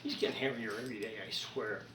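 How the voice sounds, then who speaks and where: A man chatting casually in an unhurried, rambling, folksy way, as a hobbyist host of a home repair video recorded indoors. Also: mid-range voice, steady tone, heavy background noise.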